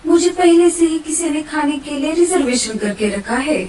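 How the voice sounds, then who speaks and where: A woman's voice: high voice, animated, moderate pace. A woman voicing a clever, brave mother bird in a cartoon, with a dramatic, emphatic delivery. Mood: pleading, sly. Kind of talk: cartoon voice acting. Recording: roomy echo, distant, faint noise.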